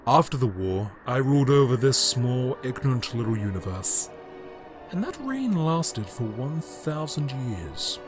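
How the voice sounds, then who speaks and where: A man playing a grandiose, cold tyrant emperor in an audio drama, delivering a pompous, theatrical monologue with deliberate pauses and condescension. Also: medium pitch, very animated, music in the background. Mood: imperious, self-righteous. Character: grandiose, cold tyrant Emperor, formerly a heroic Time Lord.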